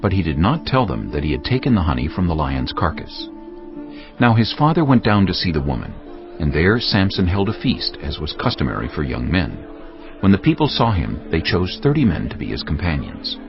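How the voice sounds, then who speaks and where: A man's voice: low voice, wildly swooping pitch, brisk. A man narrating scripture in a dramatic, scripted style. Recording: dull lo-fi audio with music. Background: music.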